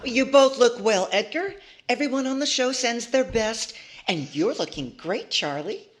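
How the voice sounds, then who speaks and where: An actress as a sweet young woman in an old-time radio comedy, high and girlish. She speaks slowly, with melodramatic swings of pitch, over a noisy, clicking recording. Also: expressive. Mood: friendly. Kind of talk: 1940s radio comedy acting.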